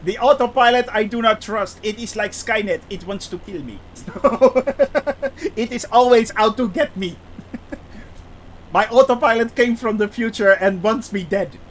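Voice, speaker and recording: A man's voice: sits high, moderate pace, a little hiss or hum, low-quality recording. A man with a thick Dutch accent chatting casually on a live stream, hesitant with many restarts and frequent laughter. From Netherlands. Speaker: flight sim streamer.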